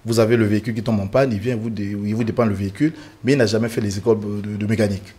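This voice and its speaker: A man originally from the Republic of the Congo speaks as a guest on a televised panel discussion. His voice sits a little low, and he argues earnestly and emphatically, with frequent fillers and restarts. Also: fairly even intonation, very fast, warm tone, slightly rough.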